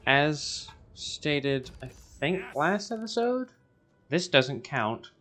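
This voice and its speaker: A man's voice: on the high side, big pitch swings, very slow, fairly bright tone. A man with a scratchy voice, rambling through casual gameplay commentary as the host. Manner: rambling, self-interrupting. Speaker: gaming video host.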